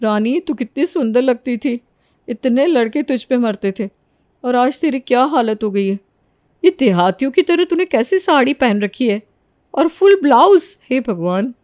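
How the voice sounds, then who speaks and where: A woman's voice: a little high, expressive, moderate pace, darkish tone, no room sound, clean studio sound, lightly acted. A woman voicing a catty, conniving young female friend in a moral story, persuasive and judgmental. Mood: mocking, dismayed.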